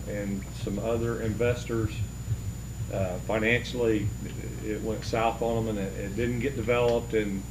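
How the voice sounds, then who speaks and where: A council member speaking in a measured way, thinking aloud during a city meeting. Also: male voice, lowish voice. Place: council chamber. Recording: clear background noise.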